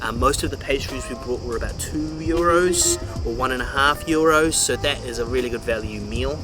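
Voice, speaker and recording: A man's voice: medium pitch, a little fast, music in the background. A man chatting casually to camera as a travel vlogger, upbeat and fond of explaining things.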